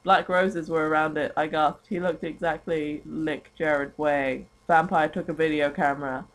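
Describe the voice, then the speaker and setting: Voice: mid-range voice, steady tone, moderate pace, poor audio. A person giving a casual, comic dramatic reading of a story, chatting along and often breaking into giggles. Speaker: reader of a fan fiction story.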